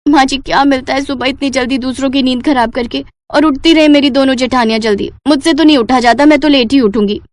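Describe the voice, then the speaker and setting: Lazy newlywed daughter-in-law for cartoon comedy, played by a woman, high-pitched, whiny, insolent. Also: fast talker, few pauses, no room sound, lightly acted. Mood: sleepy, defiant. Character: lazy, cheeky young newlywed daughter-in-law who loves sleep. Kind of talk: Hindi comedy cartoon dialogue.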